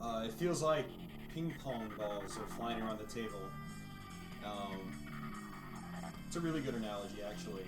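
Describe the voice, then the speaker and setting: A man's voice: on the low side, animated, moderate pace, long pauses. A man hosting a pinball livestream, chatting casually in a laid-back, conversational way and trailing off as he multitasks. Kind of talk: casual gaming stream chat. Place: indoor room beside a pinball machine. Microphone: standing microphone facing away, at some distance. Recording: roomy, distant microphone, loud game music. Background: loud pinball machine music and sounds.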